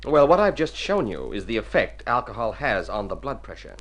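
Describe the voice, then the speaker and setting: A man's voice: lowish voice, very animated, brisk. A man playing a formal, dry, self-interested doctor in a radio drama, precise with small hesitant hems. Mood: formal, clinical. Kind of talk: mid-century radio drama acting.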